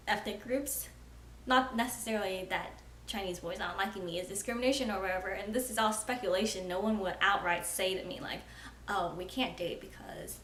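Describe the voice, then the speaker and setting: A woman's voice: mid-range voice, a bit bright. Frank young woman vlogging in an echoey room. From United States. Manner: chatty, frank, frequent "like" fillers. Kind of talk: casual talking-to-camera vlog. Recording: roomy echo with faint ambient noise.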